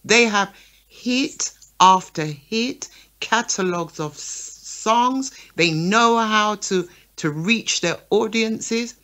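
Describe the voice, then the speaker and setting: A woman's voice: medium pitch, slow pace. A woman co-hosting a radio talk show, making her points and often checking in with "right?" and "okay?". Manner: lively, checks in with listeners by asking right and okay. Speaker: talk show co-host, promoter.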